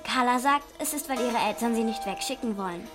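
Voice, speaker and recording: A woman's voice: a little high, brisk, over music, close to an everyday voice. An actress in a radio play playing an anxious, pleading nine-year-old girl with a questioning, reluctant, childlike manner.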